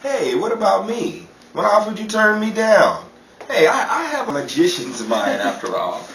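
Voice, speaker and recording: An actor playing a dim, cheeky magician pal in a 1930s radio comedy, blustering theatrically through wisecracks. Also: male voice, high voice, very animated, moderate pace, a bit bright, heavily acted. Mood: indignant. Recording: roomy, distant, noisy, audience sounds behind.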